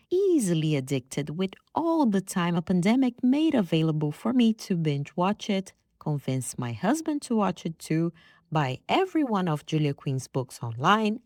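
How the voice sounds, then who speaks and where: Low-voiced woman hosting a podcast. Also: darkish tone, no room sound, clean studio sound. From Brazil. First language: Brazilian Portuguese. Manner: playful, self-deprecating storytelling. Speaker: podcast host. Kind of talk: reflective personal monologue.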